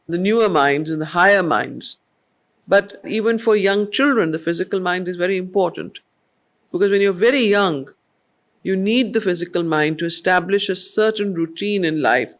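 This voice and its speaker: A mature woman reads poetry and explains it to a study group in a deliberate, measured way. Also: on the low side. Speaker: study circle facilitator, teacher. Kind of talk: slow poetry reading with explanatory teaching.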